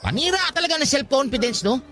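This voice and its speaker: An actor playing a lovestruck, playful young cook who teases and banters in a Tagalog radio drama. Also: male voice, extremely high pitch, animated, fast, bright, forceful tone, faint music behind, full character voice. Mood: mock annoyed. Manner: teasing, bantering. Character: lovestruck joking short man, fast-food cook, playful.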